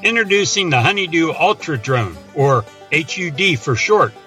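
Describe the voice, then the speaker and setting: A zealous radio pitchman played by a man hawks absurd tools in a parody commercial. His voice is bright and forceful and sits a little high. He sounds salesy and mock-earnest, with light music behind him. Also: animated, moderate pace. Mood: salesy, upbeat.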